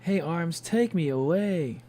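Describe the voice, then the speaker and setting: A man livestreaming a video game, chatting casually and haltingly with viewers, with informal, sweary speech. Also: on the high side, lively intonation, mellow. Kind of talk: casual chat with stream viewers. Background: game music.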